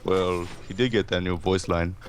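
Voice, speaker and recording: Male co-commentator, very low pitch, dry, agreeable, casual gamer talk. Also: steady tone, normal speed, a little hiss or hum. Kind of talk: casual game chat.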